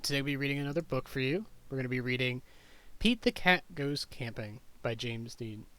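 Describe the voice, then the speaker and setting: A man reading a children's picture book aloud as a teacher would, in simple, clearly phrased storytelling for young children. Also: mid-range voice, expressive, fairly quick, bright, forceful tone.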